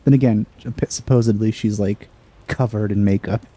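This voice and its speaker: Dark-voiced man commenting on a game. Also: fairly low pitch, steady tone, moderate pace, slightly rough, low-quality recording. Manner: offhand, wry. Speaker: let's play host.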